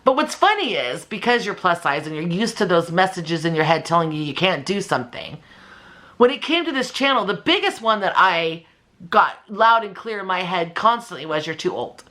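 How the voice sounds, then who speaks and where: A woman's voice: fairly low pitch, normal speed. A woman in her fifties talking casually and candidly in a motivational vlog, emphatic and given to acting out remarks. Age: 50s. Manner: animated and emphatic, acts out imagined remarks; candid, conversational. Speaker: video creator and channel host.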